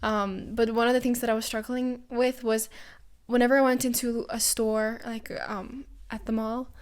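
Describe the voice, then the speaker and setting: A woman's voice: on the high side, level tone, moderate pace. A woman from Norway, a native Norwegian speaker, chatting casually as a podcast co-host and acting out little exchanges as she tells anecdotes. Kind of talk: casual conversational podcast chat.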